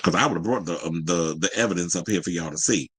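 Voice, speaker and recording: A man with an American accent and a bright, punchy voice hosts a celebrity gossip show. He reads out the news, then drops into casual, exclamatory commentary with sassy, colloquial phrasing. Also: low voice, fast talker, husky. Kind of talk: casual gossip commentary mixing read-out news with ad-libbed asides.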